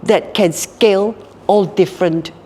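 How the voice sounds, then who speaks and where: A woman's voice: medium pitch, steady tone, a little slow, warm tone, in a large hall, slight background noise. A Singaporean woman in her later years, speaking reflectively in an interview and often repeating words as she thinks. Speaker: guest, hotel group co-founder. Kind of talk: casual interview talk.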